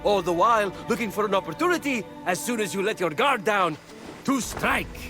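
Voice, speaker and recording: An actor playing a cocky, scheming trickster messenger god in a video game, glib and melodramatic. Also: male voice, sits high, expressive, a little fast, music in the background. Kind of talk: animated video game cutscene acting.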